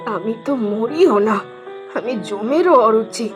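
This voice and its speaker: A woman's voice: fairly high pitch, animated, takes it slowly, music in the background. A woman playing a frail, bedridden old mother in a cartoon, plaintive and resigned. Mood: self-pitying, resigned.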